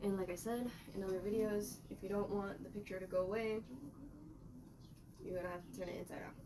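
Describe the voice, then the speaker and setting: A woman's voice: mid-range voice, fairly even intonation, brisk. A young woman in her twenties vlogging casually in her bedroom, rambling and chatting to herself as she sorts laundry. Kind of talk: casual vlog narration. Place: small bedroom, indoors. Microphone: camera mic at a distance. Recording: noisy room recording from a camera mic.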